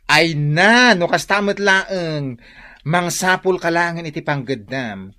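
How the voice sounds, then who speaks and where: A man's voice: a little high, lively intonation, slow pace, bright, forceful tone, heavily acted. A young man playing an opinionated student boarder in a radio drama, exclamatory, with a Filipino accent.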